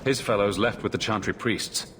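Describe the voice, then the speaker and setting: An actor playing a steady, protective young mage leading his family in a fantasy video game, calm and commanding. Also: on the low side, moderate pace, noisy background, lightly acted. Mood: wary. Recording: clear battle ambience behind voice.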